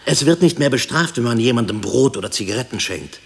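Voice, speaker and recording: Deep-voiced, haunted former prisoner. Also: male voice, normal speed, fairly bright tone, long reverb tail. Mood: intense, insistent. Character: haunted husband, former prisoner, brooding over his past. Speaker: actor in a radio play. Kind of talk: 1960s German radio drama acting.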